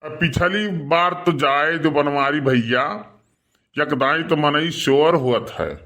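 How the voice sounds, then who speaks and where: A man's voice: fairly high pitch, takes it slowly, bright, forceful tone, heavily acted. A man playing a teasing, sly village sidekick in a cartoon comedy, with a rustic eastern Uttar Pradesh accent. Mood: consoling, teasing. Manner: needling, drawling, rustic. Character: teasing, sly village sidekick who eggs his friend on. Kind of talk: Awadhi cartoon comedy dialogue.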